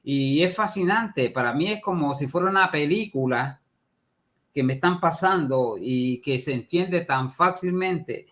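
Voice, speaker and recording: Enthusiastic Puerto Rican host, fairly high voice. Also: male voice, unhurried, fairly bright tone. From Puerto Rico. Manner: enthusiastic, affirming. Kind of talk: conversational interview.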